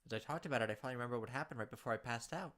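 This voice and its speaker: Fast-talking male let's play reader. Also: mid-range voice. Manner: dramatic reading broken by joking asides. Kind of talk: reading game text aloud with reactions. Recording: game music playing loudly behind.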